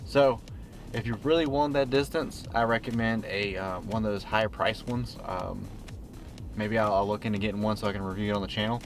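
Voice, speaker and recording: A man's voice: fairly low pitch, level tone, fairly quick. Casual man presenting, music behind him. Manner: informal, plain-spoken. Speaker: host of a car tech review channel. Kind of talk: casual product review vlog.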